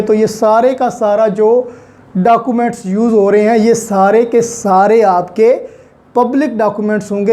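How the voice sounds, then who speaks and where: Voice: medium pitch, warm tone. A law lecturer with a Pakistani accent explains in a didactic way, repeating key points and mixing in English legal terms.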